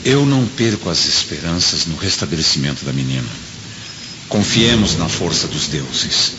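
A man playing a sensible Roman senator and concerned older friend in a radio drama, with an expressive, emphatic delivery. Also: low-pitched, moderate pace. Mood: reassuring. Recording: dull, noisy lo-fi radio drama recording.

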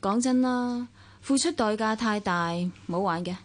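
A woman's voice: on the high side, moderate pace, slight background noise, close to an everyday voice. A woman with a Hong Kong Cantonese accent acting a worldly, guarded divorced younger sister in a radio drama, nonchalant and wry, with wistful first-person narration. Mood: wry, resigned. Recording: long reverb, music underneath. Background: music.

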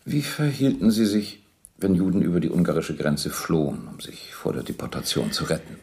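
A low, gravelly police interrogator. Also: male voice, very animated, darkish tone, exaggerated voice. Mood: probing, formal. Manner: formal, measured questioning and reading from documents. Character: male police captain interrogator, methodical, controlled, probing. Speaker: actor in a radio play. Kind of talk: German radio docudrama interrogation acting.

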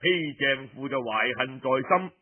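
A man with a Cantonese accent telling a story in an expressive, colloquial way, addressing his listeners directly. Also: mid-range voice, a little slow, narrow, tinny audio. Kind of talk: dramatized traditional oral storytelling narration.

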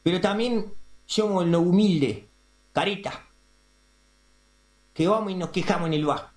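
A roomy, echoing recording of a man acting an indignant Argentine radio editorialist. The voice sits fairly high, and the delivery is pompous and crude, like a street orator in a comic radio play. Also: a little slow. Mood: ranting. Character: ranting, vulgar, self-important male radio editorialist. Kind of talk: comic radio theatre monologue.

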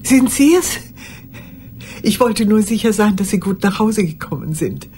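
An older woman acting in a radio drama monologue as a lonely old woman who speaks formally, haltingly and insistently. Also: mid-range voice, expressive, dark tone, slight background noise. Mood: urgent, apologetic. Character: lonely old woman confiding memories to a young lawyer.

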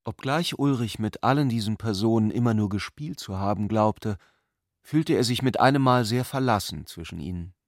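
A man reading literary prose as a scripted narrator, in a measured, deliberate way. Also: on the low side, no room sound, studio quality.

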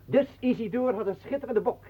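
A man's voice: extremely high pitch, a little fast, breathy edge. An actor playing a sly, teasing showman and storyteller in a theatrical radio drama. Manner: theatrical, insinuating. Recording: muffled, phone-like old radio recording.

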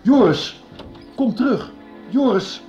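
A man's voice: very high-pitched, lively intonation, slow, darkish tone, highs cut off, soft background music, heavily acted. A man acting a busy, evasive middle-aged father in a Dutch radio soap. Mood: strained, urgent.

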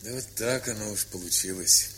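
A middle-aged nephew in an audio drama. His voice is deep and his replies are brief, hesitant and understated. There is a slight crackling hiss from the old recording. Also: moderate pace, pushed and bright. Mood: reserved, fond. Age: 40s. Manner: terse, hesitant, low-key replies. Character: reserved, awkward nephew nearing fifty. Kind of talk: dramatised short story, audio acting. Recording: hissy, crackly vintage 1970s record.

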